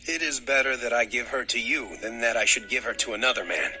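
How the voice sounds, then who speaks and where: A man playing a calm, calculating older patriarch and father in a dramatized scripture production. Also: mid-range voice, quick pace, music in the background, close to an everyday voice, rough recording. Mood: welcoming.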